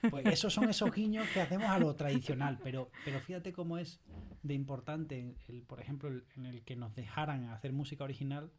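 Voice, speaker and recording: A man's voice: mid-range voice, a little fast, slight background noise. A man with an accent from Spain speaking casually and emphatically in an interview, repeating himself and acting out bits of dialogue. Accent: Spanish from Spain. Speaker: audio series creator being interviewed. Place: recording studio.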